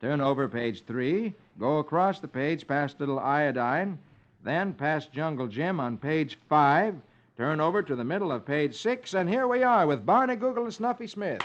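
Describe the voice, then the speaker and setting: A man's voice: on the high side, animated, normal speed. American guy reading the funnies on old radio, really swoopy theatrical delivery, muffled recording. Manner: theatrical, sing-song rhymes. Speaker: host reading comics to children. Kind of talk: animated 1950s radio storytelling.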